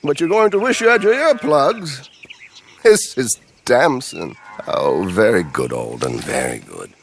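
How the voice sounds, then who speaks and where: An actor playing a theatrical, flattering middle-aged gentleman con artist in a video game, florid and pompous. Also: medium pitch, measured pace, gravelly, a little hiss or hum. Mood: sly, jaunty. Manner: florid, effusive, pompous showman. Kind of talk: dramatic video game acting.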